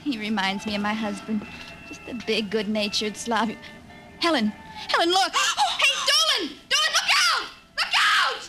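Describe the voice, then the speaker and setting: A woman's voice: on the high side, sing-song, moderate pace, raised voice. An actress playing a sassy young city woman with wisecracking, slangy talk in a 1950s radio drama. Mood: alarmed. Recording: vintage 1950s radio recording with music.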